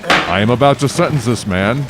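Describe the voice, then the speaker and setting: An actor playing a stern, authoritative presiding judge in a radio drama, with measured delivery. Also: male voice, mid-range voice, expressive, fairly bright tone, soft background music, lightly acted.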